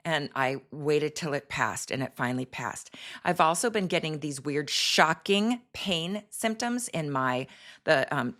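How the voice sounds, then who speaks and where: Middle-aged woman doctor hosting a health show; deep, slightly hoarse voice, loose and chatty delivery. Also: a bit bright. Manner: chatty, explanatory, with fillers. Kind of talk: casual health talk to camera.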